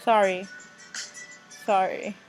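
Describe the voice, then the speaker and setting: A woman hosting a visual novel let's play, reading aloud with casual, expressive reactions and stumbling over and repeating phrases. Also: mid-range voice, slow, poor audio. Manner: expressive, reacts in outbursts between read passages. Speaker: gaming video host. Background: music.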